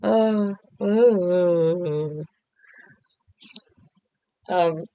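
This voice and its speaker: A woman's voice: on the low side, very slow, warm tone, clear, resonant tone, no room sound. A Korean American woman talking candidly and rambling in a casual confessional vlog from home. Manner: candid, rambling, self-deprecating. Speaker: vlogger.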